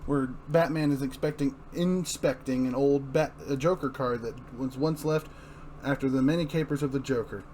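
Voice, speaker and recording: A man's voice: medium pitch, steady tone, moderate pace, warm tone. Male narrator, mid-range, stumbles and self-corrects through a dramatic reading, noisy recording. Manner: stumbles over words and corrects himself. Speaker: comic-book review show host. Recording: clearly noisy room recording.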